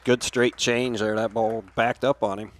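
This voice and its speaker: A man's voice: medium pitch, level tone, normal speed. An American man giving casual live analysis as color commentator on a baseball broadcast.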